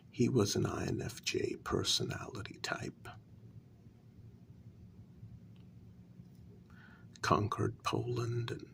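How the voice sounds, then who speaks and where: A man playing a wounded, quietly menacing human who confronts a vampire queen in a soft-spoken ASMR role-play, with a breathy, gravelly half-whisper and deliberate pauses. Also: on the low side, heavily acted. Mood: brooding, pointed. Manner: slow, deliberate, pausing between phrases.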